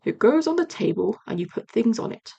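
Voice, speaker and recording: A woman voicing a gentle, slow-witted male bear in a storybook, mild, puzzled and polite. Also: on the high side, sing-song, gravelly, husky, heavily acted. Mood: mildly puzzled. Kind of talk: storybook character voice.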